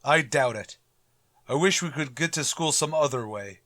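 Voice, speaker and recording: An actor playing a pompous, grumbling middle-aged school principal in a radio comedy, formal and dryly sarcastic. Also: male voice, medium pitch, moderate pace, a bit bright, dry recording, clean studio sound, close to an everyday voice. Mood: disdainful, dreading. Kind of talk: old-time radio comedy acting.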